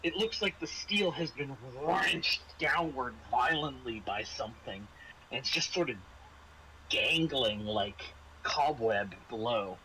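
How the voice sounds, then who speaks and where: A man's voice: medium pitch, animated, slow pace, noisy background. A man narrating a tabletop horror game as game master, in a conversational, descriptive storytelling way.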